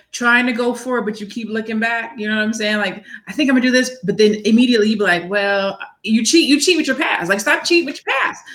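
A woman in her forties talking earnestly as a guest about her book, often restarting and repeating words as she builds up steam. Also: a little high. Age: 40s. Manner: earnest, faith-driven, repeats words when excited. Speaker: author and guest. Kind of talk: casual interview chat.

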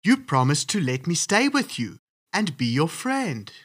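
A man voicing an enchanted frog prince in a storybook, earnest and pleading, with a husky voice. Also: fairly high pitch, sing-song, unhurried, fairly bright tone, dry recording, clean studio sound, pitched above the performer's own voice, heavily acted.

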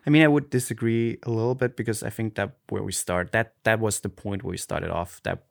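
Man, austrian german accent, native german speaker, halting, searching for words, dry close sound. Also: lowish voice, steady tone, vocal fry, no room sound. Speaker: podcast co-host. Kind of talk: casual chat.

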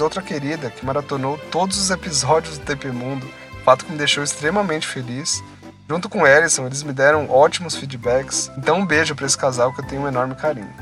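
A man hosting a podcast, reading sponsor plugs and shout-outs and then interviewing a guest in a friendly way. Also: a little high. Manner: friendly, upbeat promo reading. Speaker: podcast host.

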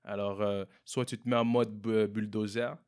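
A young man from Montreal with a Québécois French accent, answering interview questions in a relaxed way, often repeating and restarting words. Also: on the low side, moderate pace, smooth. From Montreal, Quebec. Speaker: entrepreneur guest. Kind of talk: casual interview conversation.

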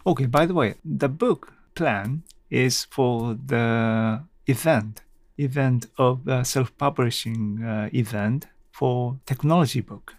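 A man from Japan, a native Japanese speaker with a Japanese accent, hosting a casual solo podcast and haltingly thinking aloud. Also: mid-range voice, no room sound. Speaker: podcast host.

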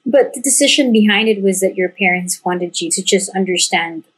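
A woman's voice: mid-range voice, a little fast, slightly rough, low-quality recording. A woman hosting a podcast, chatting casually and asking curious follow-up questions. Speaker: podcast host. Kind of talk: casual interview chat.

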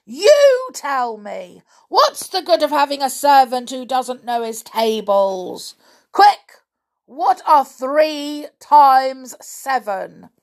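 A woman voicing a huge, dim, bad-tempered male giant in a storybook, bullying and loud. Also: medium pitch, expressive, unhurried. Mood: angry roaring. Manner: roaring, thundering, muttering over sums.

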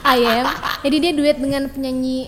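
A woman's voice: high-pitched, flat delivery, fairly quick, a bit bright. A young woman co-hosting a podcast, chatting casually with lots of laughter and slang. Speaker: podcast co-host. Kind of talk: casual chat. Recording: hall reverb with faint music.

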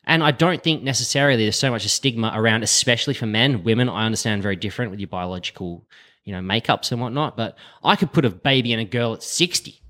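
A man's voice: mid-range voice, fast talker, sharp tone. A man in his late thirties chatting casually as a co-host, blunt and joking, swearing freely. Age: late 30s. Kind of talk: casual chat.

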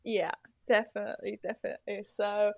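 A young woman from Austria, a former exchange student, answering podcast interview questions in a thoughtful, earnest way with soft laughs and fillers. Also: mid-range voice, flat delivery, fairly quick, vocal fry, dry recording, dull audio. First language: German.

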